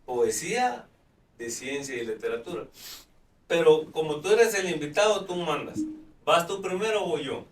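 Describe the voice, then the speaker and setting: A man's voice: a little high, lively intonation, moderate pace. A man hosting a live talk show, chatting with many fillers and restarts. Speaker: host. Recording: roomy, distant mic.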